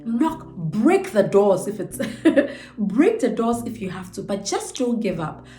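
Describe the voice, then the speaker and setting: A woman's voice: mid-range voice, very animated, unhurried, warm tone. Stuffy-nosed woman vlogging about a self-help book, earnest and upbeat, echoey room, a little music underneath. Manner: earnest, encouraging, stretches words for emphasis. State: nasal from a cold. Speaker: channel host. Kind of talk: motivational book breakdown.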